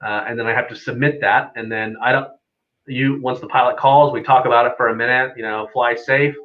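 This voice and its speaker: A man's voice: medium pitch, steady tone, a little fast, narrow, tinny audio. A man, an air traffic controller guesting on a live stream, explaining things casually in an easygoing, conversational way. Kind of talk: casual unscripted explanation with anecdotes.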